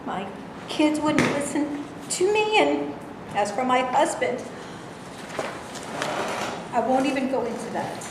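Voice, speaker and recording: A woman's voice: high voice, very animated, takes it slowly, pauses often, heavily acted. A woman playing an aggrieved, self-absorbed character in a staged reading, weary and complaining. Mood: bitter. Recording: very echoey room, clear background noise.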